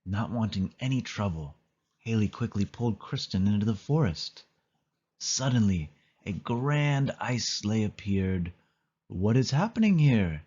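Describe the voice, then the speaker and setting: Low, husky male storybook narrator. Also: animated, measured pace, darkish tone, lo-fi sound. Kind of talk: scripted children's read-along narration.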